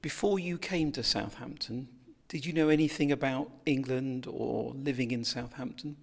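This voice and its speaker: A man leads an interview with short, orderly questions. His voice is husky and gravelly, at a middle pitch. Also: normal speed. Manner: clear, structured questioning.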